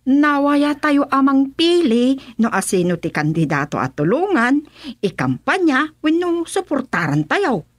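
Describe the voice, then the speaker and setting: A woman's voice: sits high, big pitch swings, measured pace, dry recording. A woman with an Ilocano Filipino accent playing an inquisitive, gossiping village councilwoman in a radio comedy-drama. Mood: tattling.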